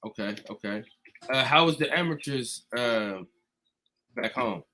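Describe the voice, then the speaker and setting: A man hosting a podcast, opening with loud hyped greetings and talking in a loose, slangy way. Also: medium pitch, animated, measured pace, slight background noise. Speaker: podcast host. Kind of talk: casual podcast chat.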